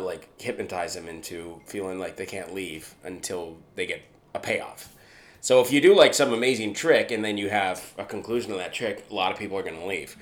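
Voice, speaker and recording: Young Canadian street performer, very low voice; easygoing interviewee, animated and emphatic. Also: male voice. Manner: animated, builds to emphatic points with repeats. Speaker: street performer and escape artist. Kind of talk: relaxed conversational interview.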